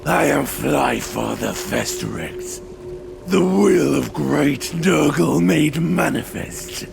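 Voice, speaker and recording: An actor playing an imperious, scheming demon of plague and rot in a video game, with a gravelly croak and a commanding, theatrical delivery. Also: male voice, fairly high pitch, lively intonation, slow pace, over music, heavily acted. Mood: angry, imperious. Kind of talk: video game cutscene acting.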